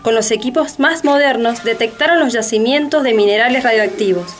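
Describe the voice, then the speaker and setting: A woman delivers dramatic scripted documentary narration. Her voice is a little high, with faint music running behind. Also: a little fast.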